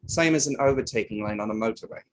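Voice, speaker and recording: A man's voice: mid-range voice, fast talker, natural voice. Man playing a firm, plain-spoken riverboat crewman witness. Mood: assured. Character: male riverboat crewman witness, matter-of-fact and defensive. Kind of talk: table read of an inquiry play.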